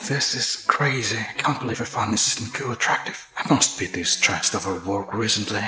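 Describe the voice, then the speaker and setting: A man's voice: mid-range voice, brisk, crowd noise, natural voice, rough recording. Curt, imperious male boss, acted by a man. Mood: bemused. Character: commanding, aloof male company boss, secretly smitten. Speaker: actor in a dubbed drama. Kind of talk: dubbed romantic drama acting.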